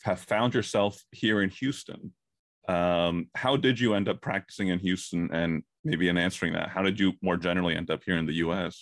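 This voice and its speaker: Fast-talking deep-voiced interviewer. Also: steady tone, dry recording, studio quality. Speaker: interview host. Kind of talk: conversational interview.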